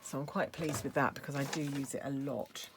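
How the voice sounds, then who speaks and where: A middle-aged woman with a low, slightly croaky voice talks through a card-making demo. She is chatty, thinking aloud as she works at her craft table. A faint hiss and the odd click sit under her voice. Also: fairly even intonation, a little fast. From United Kingdom. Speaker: craft demonstrator. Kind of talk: casual craft tutorial.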